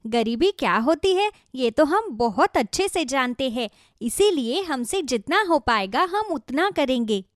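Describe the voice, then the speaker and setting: A woman plays a village wife in a cartoon moral story. She is excitable and good-hearted, with a high voice that swoops expressively and an exclamatory way of talking. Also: a little slow, few pauses, no room sound, clean studio sound. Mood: approving. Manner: exclamatory, animated. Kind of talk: animated moral folk tale dialogue.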